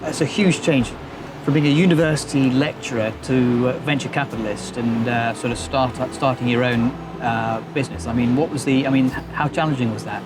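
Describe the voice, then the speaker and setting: Fast-talking male interviewer. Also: medium pitch, fairly even intonation, background noise. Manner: conversational, quick follow-up questions. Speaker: interviewer, board member. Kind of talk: interview questions.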